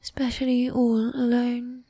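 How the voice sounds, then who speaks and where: Woman playing a breathy, whispering, courtly female vampire. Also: on the high side, completely flat delivery, dark tone, no echo. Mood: courtly, coy. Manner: formal, archaic diction, slow and deliberate. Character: flirtatious, bossy, possessive female vampire, courtly and formal. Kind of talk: ASMR roleplay. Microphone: close microphone, dry.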